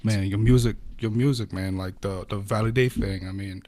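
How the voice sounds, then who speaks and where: A man's voice: fairly low pitch, fairly even intonation, moderate pace, mellow. A man co-hosting a podcast, talking casually with frequent hesitations. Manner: hesitant, many "um"s. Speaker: podcast co-host. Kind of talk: casual chat.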